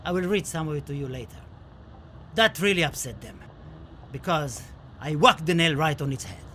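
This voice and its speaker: A man's voice: a little high, lively intonation, moderate pace, bright, forceful tone, a little hiss or hum. An actor playing a passionate, wistful Spanish poet looking back on his life and death in a theatrical, declamatory radio play monologue. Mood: indignant, wry. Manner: dramatic, declamatory, lingering over poetic phrases.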